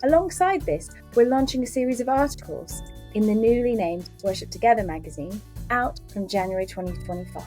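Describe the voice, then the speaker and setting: A woman's voice: mid-range voice, fairly quick, a little mellow. An upbeat woman hosts a podcast. She is enthusiastic and laughs easily as she talks with her guest. Faint music plays behind her. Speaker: podcast host. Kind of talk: scripted podcast intro, then casual interview.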